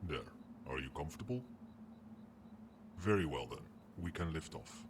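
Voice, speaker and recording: A man voicing a proud, possessive dragon with formal, haughty, measured speech in an ASMR roleplay. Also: a bass voice, lively intonation, heavy background noise. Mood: commanding, proud. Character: proud, imperious male dragon, possessive but grudgingly protective.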